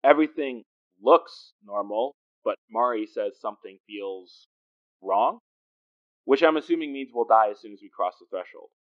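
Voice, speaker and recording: A man plays a confident police detective who talks in dry wisecracks. His voice sits at an ordinary male pitch, with a teasing, natural audio drama delivery. The recording is a little dull. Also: animated, normal speed, lightly acted. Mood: wry.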